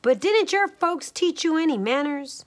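A woman's voice: very high-pitched, lively intonation, normal speed, a bit bright, pitched above the performer's own voice. A woman voicing a stern, motherly middle-sized mama bear in a storybook, speaking emphatically. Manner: emphatic, scolding.

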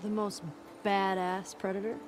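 A woman's voice: mid-range voice, level tone, measured pace, noisy background, lightly acted. An actress playing a curious, teasing teenage girl in a naturalistic video game style. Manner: casual, teasing. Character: curious, playful, sassy teenage girl exploring a ruined museum.

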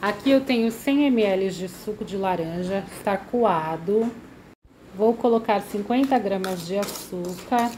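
A woman's voice: medium pitch, darkish tone, slight background noise. A woman hosting a home cooking channel, walking through a recipe step by step in a friendly way, fond of diminutives. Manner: friendly, uses many diminutives.

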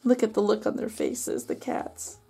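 A woman's voice: medium pitch, flat delivery, moderate pace, creaky, dark tone, husky. A woman hosting a children's read-aloud, narrating expressively and adding comments on the book. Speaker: storybook read-aloud host.

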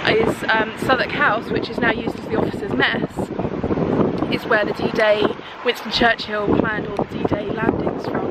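A woman's voice: fairly high pitch, little pitch movement, normal speed. A British woman in her thirties chatting casually to a handheld camera outdoors as a travel vlogger. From United Kingdom. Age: 30s. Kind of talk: casual vlog chat to camera. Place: outdoors on seafront paths and roadsides. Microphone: handheld camera at arm's length. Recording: loud outdoor wind and traffic noise.

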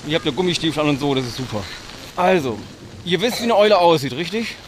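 A man's voice: on the high side, lively intonation, fast. A man working as a nature guide, casually explaining things to children. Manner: asks questions and echoes children's answers. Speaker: conservationist leading a nature walk. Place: farm estate at night, outdoors and in a barn. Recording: noisy outdoor field recording.

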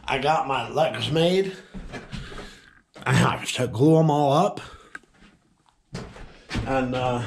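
Easygoing man in his thirties, fishing vlogger, unhurried delivery, faint hiss behind. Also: medium pitch. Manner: slow, easygoing, explaining step by step. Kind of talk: casual vlog talk to camera. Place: outdoors by a pond and in a small wooden shop shed. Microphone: camera-mounted mic.

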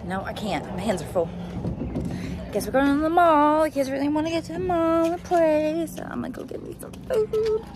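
A young mother chats away on a handheld phone as she runs errands with her kids. Her voice is fairly high and swings up and down a lot. Steady background noise sits under the phone audio. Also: normal speed. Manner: chatty, laughing often, talking to kids. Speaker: vlogger mom. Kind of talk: casual vlog chat. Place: car, parking lot and mall play area. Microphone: phone camera held at arm's length.

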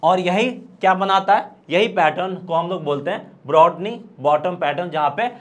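A man's voice: high voice, normal speed. A man in his thirties with an Indian accent, giving an explanatory trading lesson and answering his own questions as he goes. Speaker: stock trading instructor. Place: indoor studio, presenting at a screen. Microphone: lapel.

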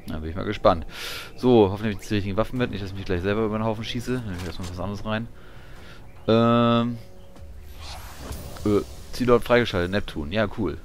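Very low-voiced man casually commentating. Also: a little fast, a little hiss or hum. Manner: muttering to himself, offhand. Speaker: gamer, let's player.

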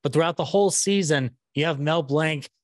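A man's voice: on the high side, a little fast, no room sound. A man in his thirties hosting a casual interview on a close microphone, chatty and stumbling over his phrasing. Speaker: podcast host. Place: small room, indoors, on a video call. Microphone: close podcast microphone on a boom arm.

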